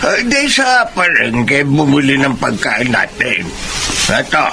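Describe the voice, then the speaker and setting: Casting: man to play kindly elderly lolo, gravelly and unhurried, halting, reassuring, noisy ambient recording. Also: medium pitch, very animated, heavily acted. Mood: warm, homely. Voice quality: creaky, gravelly. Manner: slow, halting, with sighs and filler sounds. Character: gentle, reassuring elderly Filipino grandfather, family provider. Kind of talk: dramatized family drama acting.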